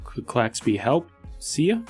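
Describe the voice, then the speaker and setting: A man's voice: mid-range voice, big pitch swings, slow pace, a little mellow, breathy edge, soft background music. A man playing a tabletop game, talking through ideas in a casual, conversational way. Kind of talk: casual strategizing chat.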